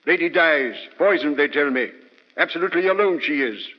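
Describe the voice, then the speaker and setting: Exasperated, stubborn male inspector, fairly high voice, clipped, muffled old radio. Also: expressive, a little fast, long reverb tail. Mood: irritated, dismissive. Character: Inspector Lestrade, gruff, stubborn police inspector. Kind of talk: old-time radio drama acting.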